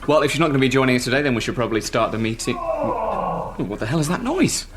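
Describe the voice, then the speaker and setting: A man's voice: medium pitch, big pitch swings, fast, very noisy, lightly acted. An actor playing an earnest, eager and easily exasperated British man trying to organise his colleagues in a radio sitcom. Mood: concerned.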